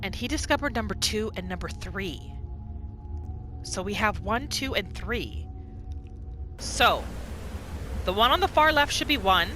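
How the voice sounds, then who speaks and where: A woman hosting a gaming video, giving casual playthrough commentary in an expressive, exclamatory way. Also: mid-range voice, unhurried, pauses often. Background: game music.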